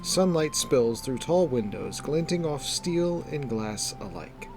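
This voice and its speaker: Guy narrating a fantasy tale, measured and descriptive, middle pitch, light music behind. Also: expressive. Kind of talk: scripted fantasy story narration.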